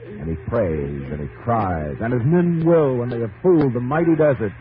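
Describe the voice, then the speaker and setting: A man's voice: mid-range voice, animated, moderate pace, phone-quality sound. A man giving dramatic scripted 1950s radio narration in emphatic, clipped, theatrical phrases on an old broadcast. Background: loud dramatic music.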